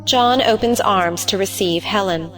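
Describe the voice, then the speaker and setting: A woman's voice: mid-range voice, a little slow, a bit bright, faint music behind. A woman reading stage directions as scripted narration for an audio play.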